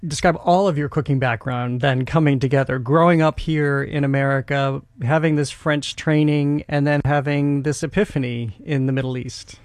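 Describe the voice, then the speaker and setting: A man hosting an interview, introducing his guest and then asking measured, articulate questions. His voice sits somewhat high for a man. The sound is close and dry. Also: steady tone, no room sound. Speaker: interviewer and host.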